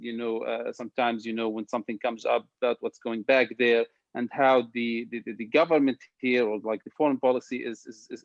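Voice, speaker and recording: Gravelly man from Gaza asking a question. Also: mid-range voice, no echo. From Gaza, Palestine. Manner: halting, many fillers and restarts. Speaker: audience member asking a question. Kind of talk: casual question on a video call. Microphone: video call. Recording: dry, dull lo-fi call audio.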